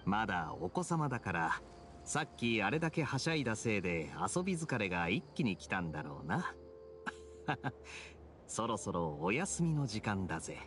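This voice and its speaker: A man playing a suave, self-assured young swordsman in an anime game, with a smooth, nonchalant way of talking. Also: fairly low pitch, big pitch swings, normal speed, fairly bright tone, slight background noise. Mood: amused. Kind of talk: Japanese anime game voice acting.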